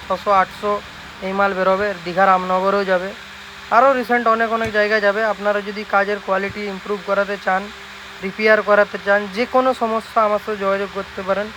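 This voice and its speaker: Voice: mid-range voice, pushed and bright, a little hiss or hum, rough recording. A shop owner with a West Bengal accent giving an informal, insistent, repetitive promotional talk to viewers.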